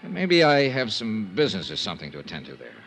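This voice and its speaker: An actor playing a confident, wisecracking insurance investigator in a 1950s American radio drama, brisk and wry. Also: male voice, lowish voice, wildly swooping pitch, gravelly, a bit bright, exaggerated voice. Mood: gentle, reassuring. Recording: 1950s radio broadcast with music.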